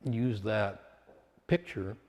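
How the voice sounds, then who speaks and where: A man with a low voice guides a meditation at a very unhurried pace. He offers instruction in short, measured phrases with space between them. The sound carries a long, hall-like reverb. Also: animated, a little mellow.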